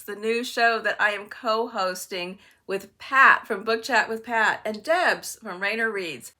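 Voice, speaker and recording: Bright-voiced older woman, casual book vlogger. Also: mid-range voice. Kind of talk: casual chatty book vlog.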